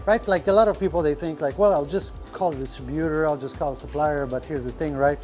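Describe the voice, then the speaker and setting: Man talking shop, halting. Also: a little high, a little mellow, faint music behind. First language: French. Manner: rambling, many fillers, searches for words. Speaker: restaurant owner. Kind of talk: casual podcast talk. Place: restaurant kitchen.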